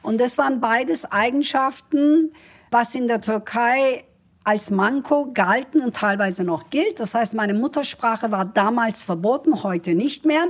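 Middle-aged woman guest from Turkey, Kurdish native, husky, earnest and upbeat. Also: on the high side, a little slow. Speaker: politician guest. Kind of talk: interview answers.

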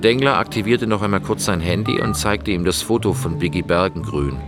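Narrating crime radio drama, low voice, matter of fact and measured, music behind. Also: male voice. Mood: sober, suspenseful. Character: sober male narrator of a crime story. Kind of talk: scripted narration in radio play.

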